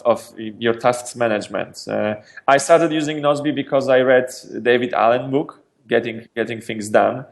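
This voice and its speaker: A man from Poland, a startup founder answering interview questions in a lively, energetic way, often restarting and repeating words. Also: mid-range voice. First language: Polish.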